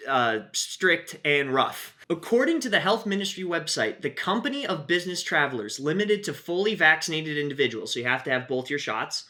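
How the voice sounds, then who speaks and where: Lively, bright-voiced man chatting wryly. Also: a little high. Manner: chatty, wry, frequent "uh" fillers. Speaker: YouTube host. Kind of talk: casual commentary on news.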